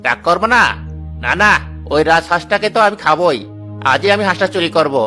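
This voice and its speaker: A man's voice: fairly high pitch, animated, a little fast, sharp tone, soft background music. A man playing a greedy, scheming village bully in a cartoon, with a taunting, domineering manner. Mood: angry, scheming. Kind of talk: Bengali cartoon story dialogue.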